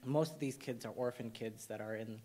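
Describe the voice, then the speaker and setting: A young man from Nepal sits on a panel of filmmakers, speaking at an everyday mid pitch. He talks reflectively, with plenty of "um"s and restarts as he finds his words. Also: moderate pace, a little mellow. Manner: hesitant, many fillers and restarts. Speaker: documentary cinematographer, panelist. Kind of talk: panel discussion.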